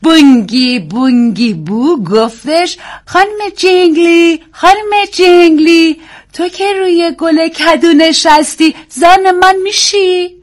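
A woman voicing a prim nanny who slowly recites a nursery rhyme in a sing-song way, as part of a story reading. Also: very high-pitched, voice pushed up. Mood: reciting.

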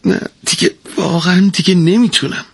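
A worn-out wife in a storybook folk tale, voiced by a man in a raised, husky tone. She scolds and pleads in turn, swinging widely in pitch. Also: huge pitch swings, dry recording, exaggerated voice. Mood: pleading. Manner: pleading then shouting, scolding. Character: weary, exasperated, nagging wife. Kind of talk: storybook reading.

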